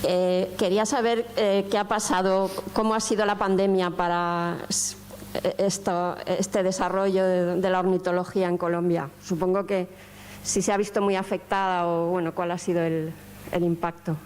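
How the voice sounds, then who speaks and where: A woman's voice: lowish voice, level tone, moderate pace, a little mellow. A woman with a Castilian accent from Spain asking a spontaneous question from the audience at a conference. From Madrid, Spain. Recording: faint background noise, room ambience.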